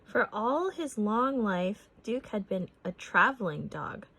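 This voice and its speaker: A woman narrating a picture book as a read-aloud for children, expressive and deliberate in her phrasing. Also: mid-range voice, husky.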